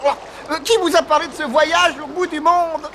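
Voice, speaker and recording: A man's voice: extremely high pitch, steady tone, moderate pace, noisy background. A man voicing a small, plucky, lovestruck animal sidekick in a cartoon, earnest and a little hesitant. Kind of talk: French cartoon dub acting. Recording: music behind the voice.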